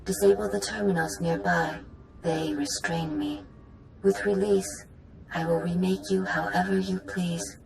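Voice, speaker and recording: An ancient machine mother speaks with stately maternal pride and quiet menace. The voice is low for a woman, with a creaky grain. It rings in a roomy game-world space over faint rumbling noise. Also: steady tone, measured pace. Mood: solemn. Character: ancient godlike machine mother, tender, proud, quietly vengeful. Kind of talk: dramatic video game acting.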